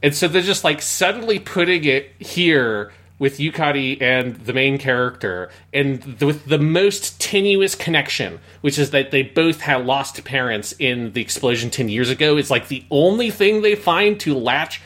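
A man's voice: medium pitch, lively intonation, moderate pace, few pauses, fairly bright tone. A man co-hosting a podcast, giving casual but analytical commentary in a review discussion, explaining at length with emphasis. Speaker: podcast co-host.

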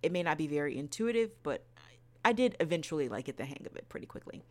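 A woman's voice: low-pitched, expressive, fast. A woman co-hosting a podcast, sharing her review in casual talk. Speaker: podcast co-host.

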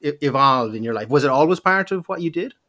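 A man's voice: on the high side, lively intonation, quick pace, pushed and bright. A man hosting an interview, hesitant, who often repeats words and restarts his sentences. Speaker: podcast host. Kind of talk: casual interview conversation.

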